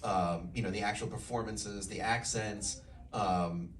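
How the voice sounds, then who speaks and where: A man's voice: lowish voice, steady tone, measured pace, slight background noise. A man asking long, rambling questions at a live screening Q&A, full of fillers. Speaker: interviewer. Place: screening event hall. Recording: echoey room, distant mic.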